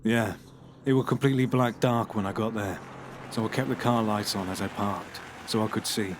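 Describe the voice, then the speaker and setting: An actor playing a troubled, unwell man who confesses to the police in a halting, hushed voice with nervous laughs. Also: fairly low pitch, steady tone, background noise, natural voice. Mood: haunted. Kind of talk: audio horror drama.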